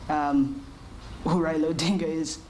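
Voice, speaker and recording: A woman in her thirties answers interview questions in a measured, reflective way. Her voice sits fairly low and her pace is unhurried. A faint noise hums behind her. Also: steady tone, a little mellow, poor audio. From Kenya. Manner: thoughtful, measured, with short pauses. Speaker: interview guest.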